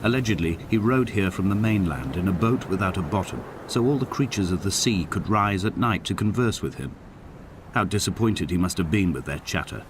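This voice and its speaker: An actor playing a solitary, melancholy middle-aged man, narrating in a measured, solemn, literary style for a game. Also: sits low, expressive, background noise. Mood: wistful, reflective. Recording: music behind the narration. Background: clear background music.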